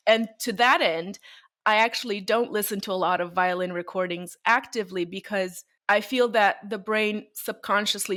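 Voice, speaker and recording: A woman's voice: medium pitch, a bit bright. A woman from Bulgaria, a violinist, answering interview questions in a conversational, storytelling way and laughing often. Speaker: violinist guest.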